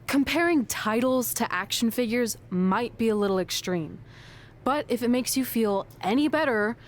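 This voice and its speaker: A woman's voice: on the high side, animated, moderate pace, lightly acted. A woman playing a supportive, teasing wrestler friend in a video game, speaking casually with noise in the background. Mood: reassuring. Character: upbeat, teasing female wrestler, supportive best friend of hero.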